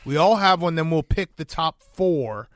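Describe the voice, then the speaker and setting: A male podcast host with a fairly high voice leads a casual sports chat. He moderates informally and keeps the discussion moving, recorded very dry. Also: lively intonation, normal speed, a bit bright, no echo.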